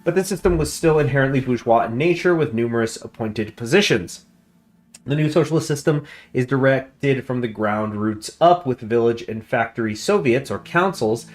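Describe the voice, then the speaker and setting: A man casually commenting on his strategy game as he plays, thinking out loud and reading the in-game text aloud. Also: mid-range voice. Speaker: gameplay commentator. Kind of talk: casual let's-play commentary. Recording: faint music underneath. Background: faint game music.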